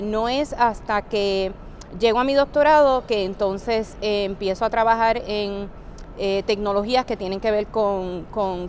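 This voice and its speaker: A middle-aged woman from Puerto Rico telling stories in an interview, lively and anecdotal, with frequent fillers. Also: a little high, a little hiss or hum. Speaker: NASA researcher, guest. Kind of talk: casual interview storytelling.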